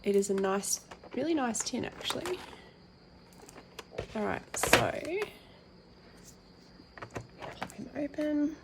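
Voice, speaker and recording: A woman with a croaky, tired voice hosting her colouring channel, chatting casually with lots of ums as she reads out packaging and unboxes. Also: fairly high pitch, expressive, slow, pauses often, heavy background noise. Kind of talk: casual tabletop unboxing chat.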